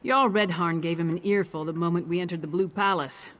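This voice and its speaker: A woman playing a gruff, wry warrior companion in a fantasy video game, speaking bluntly. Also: fairly low pitch, steady tone, fairly quick, a bit bright, lightly acted. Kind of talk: video game character acting.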